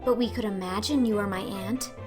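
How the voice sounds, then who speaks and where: A woman's voice: mid-range voice, a little fast, over music, close to an everyday voice. A woman voicing an imploring, talkative, dreamy orphan girl in an audiobook, with a gushing, earnest and dramatic delivery. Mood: wistful, hopeful. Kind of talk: audiobook character acting.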